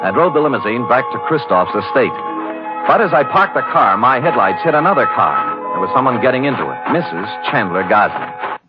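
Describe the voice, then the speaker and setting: A man's voice: mid-range voice, very animated, brisk, close to an everyday voice. Guy acting a hard-boiled american detective, dry and wry, muffled old radio recording with music. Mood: dry narrating. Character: wry, tough, unflappable private detective narrating his case.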